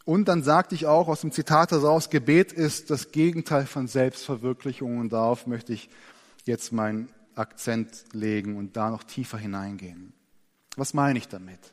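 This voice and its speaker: Earnest male preacher in a reverberant hall. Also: mid-range voice. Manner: earnest, expressive, slows down and drops soft for emphasis. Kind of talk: sermon. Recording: long church reverb.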